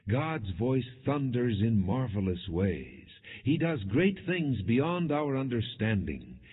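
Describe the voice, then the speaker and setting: A man narrating scripture in a solemn, measured, declamatory way, as in an audio Bible. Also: mid-range voice, sing-song, slightly rough. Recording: phone-like narrow band, long hall reverb.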